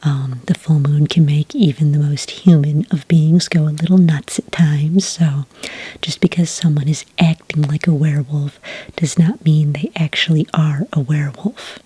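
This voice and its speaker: A woman speaking in a whispery, breathy soft voice, calm and methodical, as an agent in an ASMR roleplay, close to the microphone. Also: deep voice, no echo. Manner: calm, methodical questioning with gentle pauses. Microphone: close microphone in a dry room.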